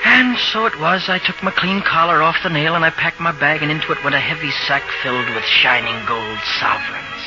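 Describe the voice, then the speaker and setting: An actor playing a young rough-and-ready ex-jockey drifter in a 1940s radio drama, colloquial and guarded. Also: male voice, fairly high pitch, fairly quick, few pauses, loud music behind the voice, close to an everyday voice. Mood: reflective narration. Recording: muffled, noisy old radio broadcast recording.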